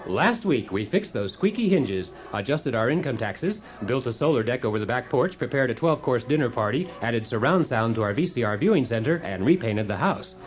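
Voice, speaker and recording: Radio comedy: male actor as unflappable, cheery home-improvement host, very lively intonation, mid-range voice. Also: mid-range voice, brisk, few pauses, faint music behind, close to an everyday voice. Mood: chipper, unflappable. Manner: chirpy instructor patter, unflappable. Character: relentlessly cheerful, oblivious DIY show host husband. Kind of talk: radio comedy parody of instructional show.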